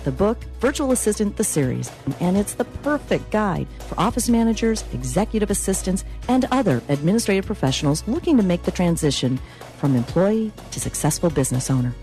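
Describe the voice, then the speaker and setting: A woman's voice: mid-range voice, sing-song, fairly quick, dark tone. A woman announcer reading a scripted radio advertisement. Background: faint music.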